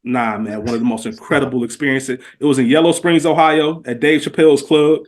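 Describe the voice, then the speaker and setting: A man's voice: mid-range voice, steady tone, brisk. A middle-aged man telling stories in casual, slangy podcast chat, stressing points emphatically and repeating words as he goes. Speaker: podcast guest.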